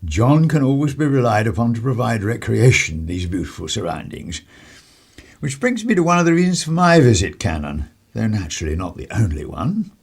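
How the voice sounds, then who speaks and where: An actor playing an urbane, wry 1930s English politician in a radio play, with a husky voice and clubbable, old-fashioned phrasing. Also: male voice, fairly low pitch, big pitch swings, a little fast, heavily acted. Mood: smooth, insinuating.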